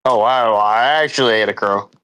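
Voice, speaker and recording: A man chatting casually as a guest, excitable and often laughing and cutting in. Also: on the low side, clear, resonant tone, no room sound. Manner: excitable, laughing, quick to interrupt. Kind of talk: casual chat.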